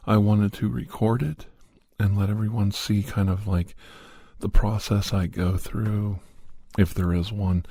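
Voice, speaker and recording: A man hosting an ASMR podcast in a soft, breathy, husky voice with a mild head cold, chatting casually close to the microphone. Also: lowish voice, level tone, a little slow, dry recording. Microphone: studio condenser microphone, close.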